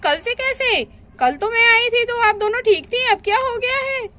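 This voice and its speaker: A woman voicing a neighbor woman who reassures briskly. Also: very high-pitched, sharp tone, voice pushed up. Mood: questioning.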